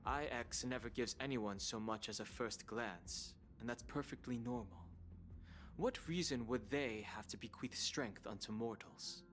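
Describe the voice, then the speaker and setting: A reserved, doubting companion, acted by a man in a video game. His voice sits at a middle pitch and sounds earnest, with faint music underneath. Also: measured pace, a bit bright, natural voice. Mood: skeptical.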